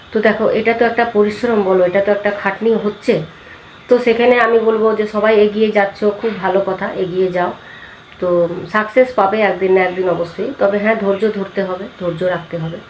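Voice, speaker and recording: Indian bengali lady doing a chatty home vlog, highish voice, noisy echoey room, says stuff twice. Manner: chatty, repeats phrases. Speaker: family vlogger. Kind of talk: casual home vlog chat. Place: indoors at home. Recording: roomy echo, loud household background noise.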